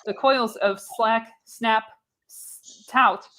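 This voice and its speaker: A woman's voice: on the high side, steady tone, drawn-out words, sharp tone. A woman narrating screenplay directions for a live radio play, reading dramatically with the odd stumble. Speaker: narrator, show host.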